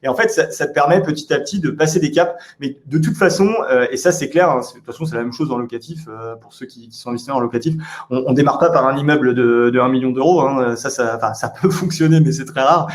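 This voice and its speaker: A man's voice: medium pitch, fast. A man speaking at a conference, coaching the listener directly with many fillers. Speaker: real estate investor speaker.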